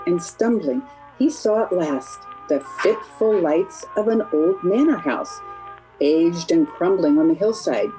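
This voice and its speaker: A woman's voice: lowish voice, mellow, poor audio. A woman narrating a radio play in a dramatic, scripted storytelling style.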